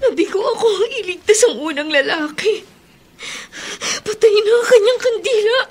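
A woman's voice: extremely high pitch, lively intonation, moderate pace, darkish tone, slight background noise. A Filipino woman playing a distraught, lovelorn young bride-to-be in a radio horror drama, tearful and melodramatic.

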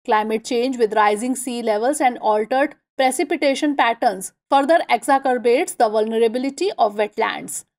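A woman with an Indian English accent reads scripted lesson notes over slides in an even, measured way. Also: on the high side. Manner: even, measured reading of list items. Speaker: exam-prep lecturer.

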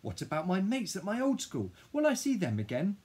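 A man voicing a grieving, dramatic ten-year-old boy who tells his own story in an expressive, husky storybook voice. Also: on the low side, measured pace. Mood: indignant, distraught. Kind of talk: first-person children's audiobook narration.

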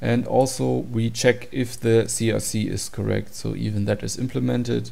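A man's voice: mid-range voice, flat delivery, normal speed, gravelly, darkish tone. A man giving an informal, matter-of-fact tutorial walkthrough of program code. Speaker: tutorial presenter.

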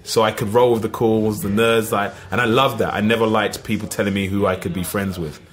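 A middle-aged man with an East London accent, telling lively stories as a podcast guest, full of "you know what I mean". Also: fairly low pitch, a little fast. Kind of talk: casual storytelling.

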